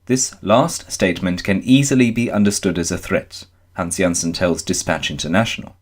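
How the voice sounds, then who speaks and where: Male news reader, lower register, scripted narration, measured formal delivery. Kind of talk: scripted narration, article read aloud.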